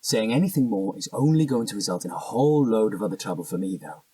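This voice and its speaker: An actor playing an evasive, defensive cheating husband in a naturalistic audio drama, protesting and stammering when cornered. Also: male voice, on the low side, expressive, quick pace, dark tone, breathy edge, no echo, far from the mic, rough recording. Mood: resigned bitter.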